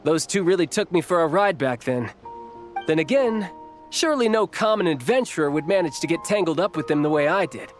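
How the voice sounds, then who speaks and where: A boyish young male warrior from a video game, voiced by an actor, pitched high and swinging widely in tone. He is cheeky, excitable and dramatic, with music playing behind him. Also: fairly quick, natural voice. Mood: sheepish, playful. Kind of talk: English video game voice acting.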